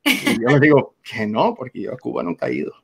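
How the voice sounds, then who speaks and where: A man's voice: medium pitch, very animated, brisk, gravelly, a bit bright, slightly rough. American man with a Cuban Spanish accent. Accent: Cuban-influenced Spanish. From United States. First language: English. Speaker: guest. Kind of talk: casual interview storytelling.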